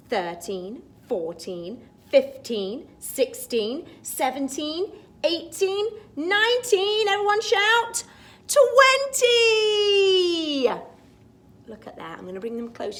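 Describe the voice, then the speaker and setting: A woman storytime teacher reading a picture book aloud to young children, expressive and sing-song, with chatty asides. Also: high-pitched, slight background noise.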